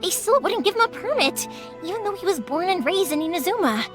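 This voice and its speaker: A bright, high and very lively young fireworks maker. She is upbeat, chatty and caring, and game music plays behind her. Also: fairly quick. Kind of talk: video game voice acting. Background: game background music.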